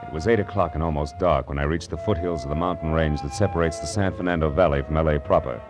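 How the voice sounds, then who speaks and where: Low-voiced wry American private eye. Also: male voice, quick pace, over music. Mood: dry, narrating. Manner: wry, slangy, clipped. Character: wry, flirtatious hard-boiled male private detective. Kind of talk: 1950s radio noir acting and first-person narration.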